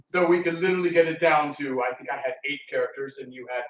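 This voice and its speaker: Higher-voiced man presenting in an echoey room. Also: quick pace, muffled recording. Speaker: radio theatre co-founder and presenter. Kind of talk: informal live talk to an audience. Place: public library room. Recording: echoey room, distant mic.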